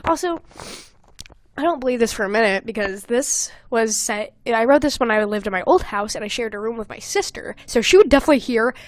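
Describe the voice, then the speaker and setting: A young woman hosting a podcast in casual comedic chat, reading aloud and commenting with animated, sweary exasperation. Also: fairly high pitch, a little fast. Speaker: podcast host.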